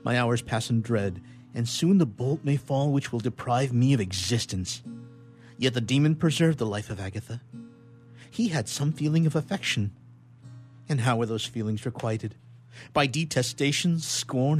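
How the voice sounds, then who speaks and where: A man's voice: mid-range voice, normal speed, faint music behind, lightly acted. A man playing a haunted, guilt-ridden young scientist in an old melodramatic radio play, with declamatory archaic diction. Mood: haunted dread.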